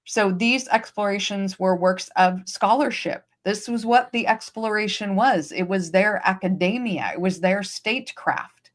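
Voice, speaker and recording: Woman, host, livestream, emphatic, explanatory, mid pitch. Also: measured pace, few pauses. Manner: emphatic, explanatory, repeats key points. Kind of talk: livestream discussion. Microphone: streaming setup.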